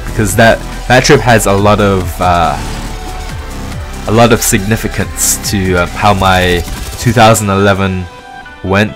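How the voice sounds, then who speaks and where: A man of about twenty from London with a mixed British and American accent, talking casually as he gives a gameplay commentary. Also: fairly low pitch. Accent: London English mixed with American. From London, England. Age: around 20. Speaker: gaming video creator. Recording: music behind the voice. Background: music.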